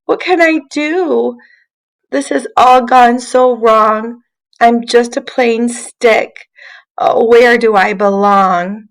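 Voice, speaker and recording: A woman's voice: on the high side, takes it slowly, voice pushed up, low-quality recording. A woman voicing a sad, self-pitying little popsicle stick in a plaintive way for a children's storybook. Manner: plaintive, lamenting. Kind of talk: children's storybook read aloud.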